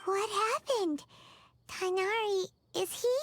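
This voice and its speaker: An actress voicing a tiny, chirpy, excitable female companion in a video game, with a very high squeaky voice. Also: slow pace, a little hiss or hum. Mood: curious. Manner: chirpy and curious. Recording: game audio with loud music.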